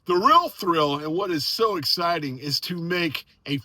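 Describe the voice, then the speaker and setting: An American man chatting casually and excitedly as an amateur radio operator, friendly and effusive. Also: a little high, measured pace, no echo. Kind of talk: casual enthusiastic chat and radio exchanges.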